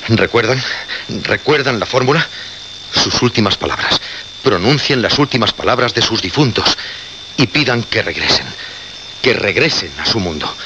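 An actor playing an anguished radio presenter in a horror drama, grave, imploring and halting, repeating himself. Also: male voice, fairly low pitch. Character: anguished male radio presenter who unleashed the dead. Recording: muffled radio recording with clear hum.

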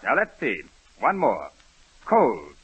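A man's voice: medium pitch, wildly swooping pitch, takes it slowly, a bit bright, slightly rough, heavily acted. A man playing a formal, condescending doctor in a 1930s radio comedy. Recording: muffled old radio broadcast.

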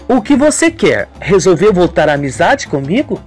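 A woman's voice: fairly low pitch, big pitch swings, moderate pace, a little mellow, faint music behind, voice pushed up. A narrator voicing a scheming, two-faced young woman in a radio soap, with feigned sorrow and haughty defiance. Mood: defiant. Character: scheming, falsely sweet young woman villain.

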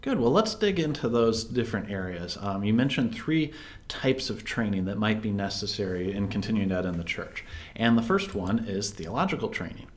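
A man's voice: on the low side, very animated, normal speed. A man in his thirties hosting a video interview, asking questions in a friendly, easy way, heard through an earbud headset on a video call. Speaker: interview host.

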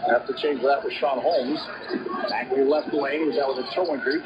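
A man calls live basketball action in a mid-pitched voice. Loud noise covers the dull AM radio reception. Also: fairly even intonation, fairly quick. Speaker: basketball play-by-play announcer. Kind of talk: live play-by-play commentary.

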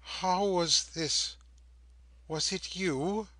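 A broken old man, long imprisoned as a male narrator plays him, speaks in a raised, strained pitch with a husky edge. He is bewildered and anguished, halting and repeating himself as in a classic novel read aloud. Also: sharp tone, no room sound. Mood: agitated. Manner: halting, repetitive, exclamatory questioning.

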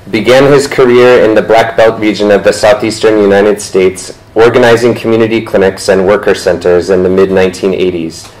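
A man's voice: fairly low pitch, darkish tone, low-quality recording. A man in his thirties, the moderator at a talk, reading panelist introductions from notes and sometimes stumbling over words. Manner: reads from notes, stumbles and self-corrects. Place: lecture room, indoors.

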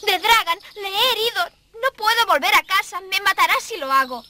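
A woman's voice: extremely high pitch, a little fast, very noisy. A woman voicing a bold, stubborn, curious jungle boy in a cartoon. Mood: defiant. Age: child figure.

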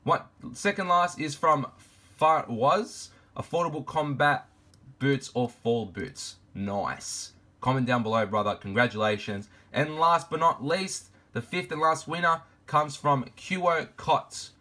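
A man of twenty-two, vlogging casually to camera in his bedroom, laid-back and slangy, his voice deep from just waking up. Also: measured pace, bright, forceful tone. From Argentina, raised in Australia. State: deep morning voice, just woke up. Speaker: fashion YouTuber. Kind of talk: casual vlog Q&A. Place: bedroom with shoe shelves, indoors.

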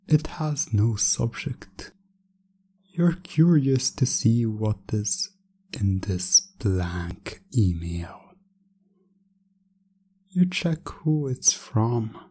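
A man delivers scripted hypnosis narration at an extremely slow pace. His voice is dark and mellow, with a husky, breathy edge. He speaks softly and deliberately, often near a whisper, leaving pauses between phrases. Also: on the low side.

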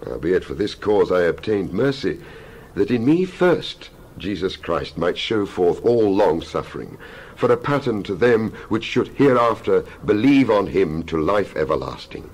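A man giving a dramatized scripture reading as a Bible narrator, solemn and emphatic, with measured oratorical phrasing. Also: deep voice, big pitch swings, low-quality recording. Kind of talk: dramatized scripture reading, King James English.

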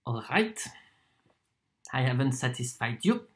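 An actor performs a proud itinerant torturer in a stage monologue. The delivery is unhurried and deliberate, full of weighted pauses. The mid-pitched voice swings widely up and down in a theatrical way. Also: sing-song, fairly bright tone, slight background noise. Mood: proud, wounded. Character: proud wandering torturer from Poland, wheedling then contemptuous.

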